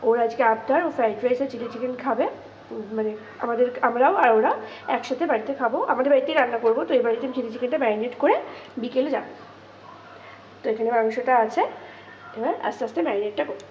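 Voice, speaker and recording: A young woman vlogger speaks in a West Bengal Bengali accent, addressing viewers in an easy conversational way. Her voice sits a little high and moves quickly. There is clear noise behind her. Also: darkish tone, muffled recording. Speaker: daily vlogger. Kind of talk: casual vlog chat to viewers.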